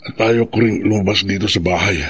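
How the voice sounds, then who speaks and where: A man playing a fearful, sleepless man, halting and uneasy in a radio horror drama monologue. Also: deep voice, steady tone, gravelly, slightly rough, no room sound, full character voice. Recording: faint background noise and ambience.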